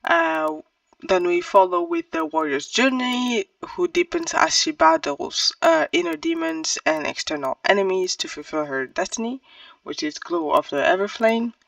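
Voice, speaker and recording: Very creaky-voiced woman reviewing books. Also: fairly high pitch, sing-song, normal speed, a bit bright, no room sound, far from the mic. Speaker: book reviewer and vlogger. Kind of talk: casual book review to camera.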